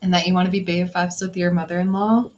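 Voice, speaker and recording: A woman's voice: on the low side, flat delivery, fast, a little mellow, muffled recording. A woman hosting a tarot livestream in casual, rambling chat with viewers, laughing often. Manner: chatty and giggly, laughs often; rambling, with restarts and filler words. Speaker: tarot reader and livestream host.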